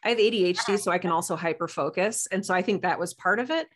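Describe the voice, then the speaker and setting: A woman guest on a casual interview, telling personal stories in a playful, animated way. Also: fairly low pitch, quick pace. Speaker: guest author and podcast producer.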